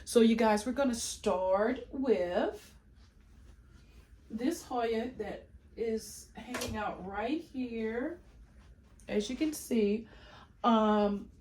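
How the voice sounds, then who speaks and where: A woman's voice: on the high side, extremely slow, pauses often, darkish tone. A woman giving a casual plant collection tour, congested from a cold, chatty with frequent ums and restarts. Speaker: plant vlogger.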